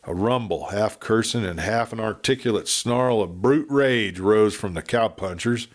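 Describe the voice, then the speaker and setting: A man narrating a Western audiobook with expressive, dramatic storytelling. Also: on the low side, a little slow.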